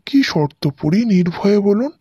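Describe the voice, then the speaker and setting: A man's voice: sits high, lively intonation, moderate pace, dark tone, breathy edge, dry recording. A man playing a polite, deferential king and father in a fairy-tale cartoon. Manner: submissive, polite. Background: music.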